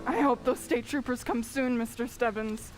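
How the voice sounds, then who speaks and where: Woman acting a high-voiced, jittery young helper. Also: moderate pace, lightly acted, poor audio. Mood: anxious. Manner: jittery, excitable. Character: nervous, superstitious young male helper, easily scared. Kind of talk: old-time radio drama acting. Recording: faint background noise.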